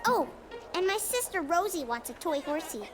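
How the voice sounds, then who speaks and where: Curious preschool cartoon boy, very high, lively melody, earnest and unhurried. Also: loud music behind the voice. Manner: earnest, simple childlike delivery. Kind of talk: children's cartoon voice acting.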